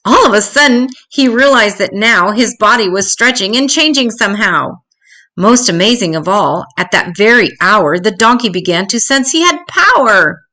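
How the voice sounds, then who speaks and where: An older woman reading a rhyming children's story aloud in an expressive, dramatic, deliberate way. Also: medium pitch.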